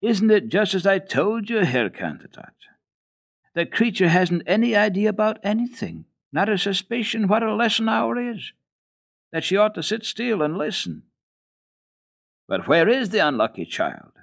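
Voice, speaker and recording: A man's voice: high-pitched, sing-song, moderate pace, gravelly, voice pushed up, full character voice. A man voicing a stern, easily outraged governess, scolding and commanding in a children's story reading. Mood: indignant. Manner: indignant, exclamatory, commanding.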